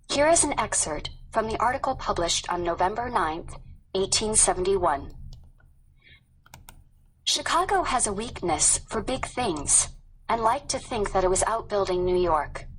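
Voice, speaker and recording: A woman reading a scripted textbook narration in a formal, measured manner. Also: medium pitch, a little hiss or hum, low-quality recording.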